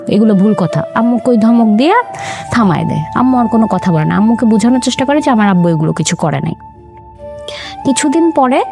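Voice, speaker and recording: A young woman with a higher-pitched voice, speaking as an interview guest. She tells a personal family story earnestly, slipping into her parents' words as she goes. A clear music bed plays beneath her voice. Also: mellow. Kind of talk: recounting a life story.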